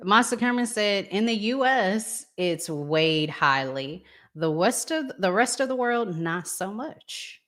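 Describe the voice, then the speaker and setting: A woman's voice: medium pitch, animated, slow, hardly any pauses, fairly bright tone. A woman hosting a relationship podcast in a casual, conversational interviewing style. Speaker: podcast host. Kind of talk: casual interviewing, reads audience comments.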